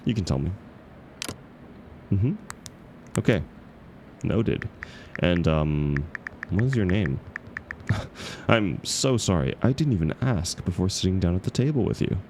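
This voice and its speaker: A man's voice: sits low, lively intonation, a little slow, long pauses, a little mellow, a little hiss or hum. A man playing an awkward, bashful book club member in an intimate scripted roleplay, speaking hesitantly with stammers and self-deprecation. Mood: shy, hopeful. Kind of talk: scripted romantic audio roleplay, intimate one-sided dialogue.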